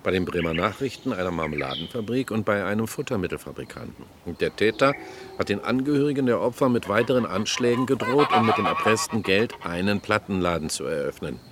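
Radio crime drama, grumbling male interviewee actor: very low voice, evasive, bitter, noisy surroundings. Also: lively intonation, quick pace, lightly acted. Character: grumbling, evasive, resentful man under questioning.

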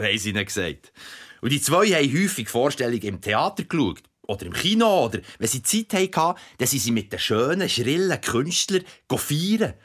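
An actor playing an earthy father who tells a bedtime story in Swiss German, dramatic and drawn-out. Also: medium pitch, a bit bright. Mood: fond storytelling. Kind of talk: dramatic storytelling in a radio play.

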